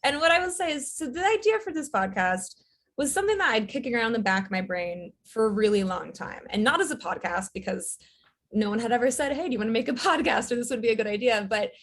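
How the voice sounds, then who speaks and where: Woman chatting as a podcast guest, pauses a lot with ums, thinks out loud. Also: a little high, animated. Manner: thoughtful, many fillers, laughs softly. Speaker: guest, writer and director. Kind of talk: casual interview chat.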